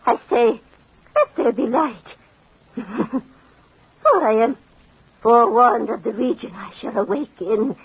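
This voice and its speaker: Dying fortune teller woman, very husky, slow halting gasps, bitter, swooping pitch, old radio drama. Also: expressive, heavily acted. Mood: agonized, despairing. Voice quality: husky, gasping. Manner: halting, theatrical, moaning. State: weak and in pain. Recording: muffled old radio recording.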